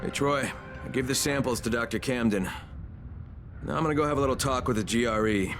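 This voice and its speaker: A hot-headed American game hero voiced by a man. He speaks at an average male pitch in a blunt, gruff, casual manner, with music clearly playing behind him. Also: fairly quick, natural voice. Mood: guarded, determined. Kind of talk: video game cutscene acting.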